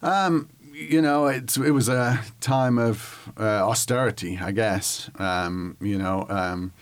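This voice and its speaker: A man in his forties with a Northern English accent, talking thoughtfully and haltingly as he recalls his background in an interview. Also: fairly low pitch. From northwest England, near Manchester. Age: 40s. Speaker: interview guest, history professor. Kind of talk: conversational interview, recollection.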